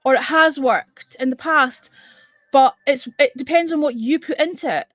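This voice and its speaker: A woman's voice: sits high, moderate pace, fairly bright tone, dry recording. A woman from Edinburgh with a Scottish accent, hosting a casual live stream and chatting bluntly and exasperatedly with viewers, swearing freely. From Scotland. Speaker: livestream host.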